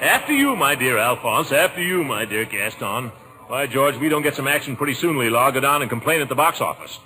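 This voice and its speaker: An actor playing a grumbling, blustery middle-aged football spectator in old-time radio comedy style. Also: male voice, medium pitch, very animated, brisk, natural voice. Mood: impatient grumbling. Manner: grouchy, blustering, exclamatory. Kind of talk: 1940s radio comedy acting. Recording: very noisy old radio broadcast recording.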